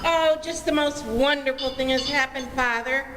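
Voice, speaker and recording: A dreamy teenage elder sister played by a woman, fairly high in pitch. She speaks with airy dignity in old radio comedy style, in an echoey hall. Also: fairly even intonation, normal speed, natural voice. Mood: dignified. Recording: echoey hall stage recording with faint noise.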